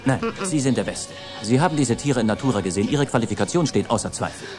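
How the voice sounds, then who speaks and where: A man's voice: medium pitch, expressive, fast talker, music in the background, natural voice. An actor playing an eager, talkative middle-aged businessman, persuasive and a little hesitant, in film-style dialogue. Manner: hesitant fillers, ingratiating salesman talk. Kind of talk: German-dubbed film dialogue.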